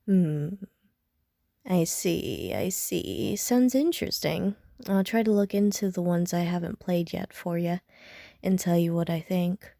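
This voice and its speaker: Slow-talking, soft-toned young gamer woman, played by a voice actress, self-deprecating, slightly prickly, hesitant, for intimate audio roleplay. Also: on the low side, expressive, vocal fry, dry recording. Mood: relaxed, chatty. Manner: hesitant, slangy, dry, self-mocking. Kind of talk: intimate audio roleplay, casual chat to the listener.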